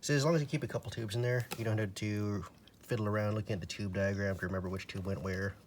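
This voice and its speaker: Low-voiced man explaining casually, noisy recording. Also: steady tone, fast talker. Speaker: radio repairer demonstrating. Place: indoors at a table. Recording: clear background noise, handling clicks.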